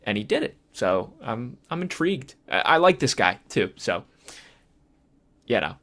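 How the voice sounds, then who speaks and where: A man's voice: medium pitch, very animated, unhurried, a bit bright. A man co-hosting a casual movie and game podcast, hesitant as he thinks aloud. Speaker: podcast co-host.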